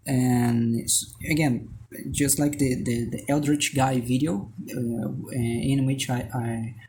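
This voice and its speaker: A Brazilian man with a Brazilian Portuguese accent and a mellow, unhurried warmth talks casually while sketching. He hesitates and restarts often, drifting between points with self-deprecating jokes. There is a faint hum of noise behind him. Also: mid-range voice, steady tone. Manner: hesitant, rambling, many restarts and fillers. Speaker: artist and channel host.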